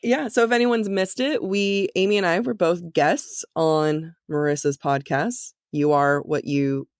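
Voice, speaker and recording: A woman's voice: lowish voice, fairly even intonation, measured pace, smooth, dry recording, studio quality. A woman podcast host who moves between scripted introductions and casual chat.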